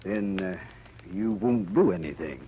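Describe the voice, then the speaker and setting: An actor playing an earnest, insistent American storekeeper in a 1950s radio western, worried and a little hesitant. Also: male voice, low-pitched, unhurried, mellow. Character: worried, insistent frontier dry goods merchant. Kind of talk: 1950s radio drama acting. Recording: noisy old 1950s radio recording.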